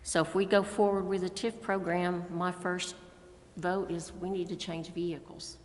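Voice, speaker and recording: A woman's voice: fairly low pitch, little pitch movement, normal speed, slight background noise. An American woman making plain-spoken, folksy remarks as a council member at a public meeting. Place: council chamber. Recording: reverberant meeting room.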